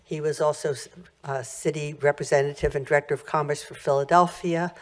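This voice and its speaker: A woman with a loud voice speaking at a township meeting's public comment, rambling earnestly with many fillers and restarts into a podium microphone. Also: low voice, fairly even intonation, a little fast.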